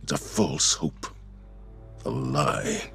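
Grief-worn, very deep gravelly commander. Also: male voice, expressive, a bit bright, faint music behind, heavily acted. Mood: grief-stricken. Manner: halting, solemn. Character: grieving weary old warrior commander, man.

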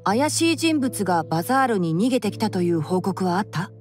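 A woman voicing a calm, clever young man of scholarly temper in a Japanese game dub, composed and reasoned. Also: mid-range voice, fairly quick, lightly acted. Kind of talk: Japanese anime game dubbing. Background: background music.

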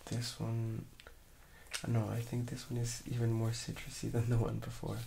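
A soft-spoken man giving quiet, hesitant short answers as the patient in an ASMR role-play. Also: medium pitch, completely flat delivery, normal speed, gravelly, slight background noise. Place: small room indoors.

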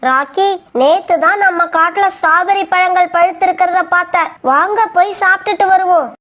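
An earnest young female parrot with an extremely high, small voice. She speaks her mind plainly, in the style of a cartoon story. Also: steady tone, a little fast, a bit bright. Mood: eager, puzzled.

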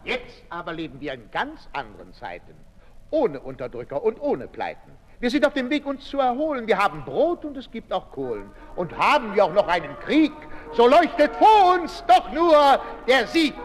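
A man's voice: very high-pitched, wildly swooping pitch, normal speed, full character voice. A man proclaims hollow patriotic verse in an old radio drama. The intonation swoops, with long reverb and faint music around it. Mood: hollow exultation. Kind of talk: 1960s German radio play acting.

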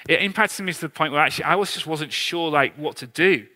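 A man's voice: a little high, fast talker, pushed and bright. A man around forty, preaching an informal sermon in a conversational, earnest, self-deprecating way. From England. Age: 30s to early 40s. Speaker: pastor, church leader. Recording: long hall reverb on preaching voice.

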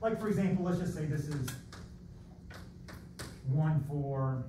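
A middle-aged American man lecturing as a college math teacher in an animated, rambling, self-deprecating and theatrical way. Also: a little high, a little slow. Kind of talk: classroom lecture with rambling asides. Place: classroom, masked, in front of students. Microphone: far camera at back of room. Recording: roomy echoey classroom, distant mic, background noise.

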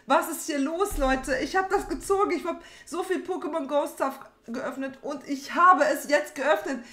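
A woman's voice: high voice, moderate pace, fairly bright tone. A young adult woman chatting casually and informally while opening card packs as a host, rambling and often repeating her phrases. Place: small room at home, indoors. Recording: roomy echo, background noise, card crinkling.

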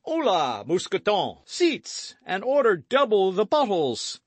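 A man's voice: sits high, huge pitch swings, slow, husky, no room sound, voice pushed up, full character voice. A man voicing a boastful, hearty gourmand musketeer with a grand, exclamatory delivery in a storybook reading. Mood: lordly, hospitable.

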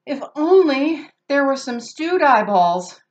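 A woman's voice: high-pitched, very animated, slow pace, a little mellow, pitched above the performer's own voice, exaggerated voice. A woman voicing a sly, grinning male stranger who cooks, raised and coaxing in a storybook reading. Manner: coaxing, sing-song.

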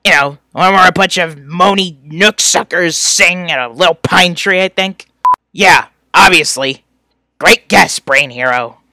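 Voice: medium pitch, measured pace, sharp tone, no echo. A performer voicing an irritable, sarcastic young male troll from a webcomic, scornful and exasperated. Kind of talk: reading fan voice lines from a webcomic.

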